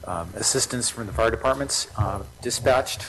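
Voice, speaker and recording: A man making spontaneous public remarks as an official, halting as he searches for words. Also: medium pitch, fairly bright tone, a little hiss or hum, low-quality recording.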